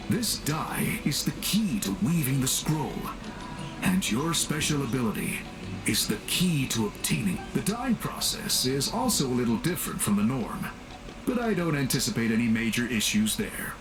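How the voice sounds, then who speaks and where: Polite scholar npc, formal explainer, lots of intonation, music behind him. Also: male voice, medium pitch. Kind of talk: video game voice acting.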